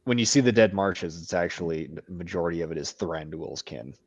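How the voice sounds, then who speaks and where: A man with a very deep voice co-hosts a casual book discussion. He talks with passion and playful mock outrage, and his voice is recorded dry and close. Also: a little fast, no echo, muffled recording. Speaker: co-host.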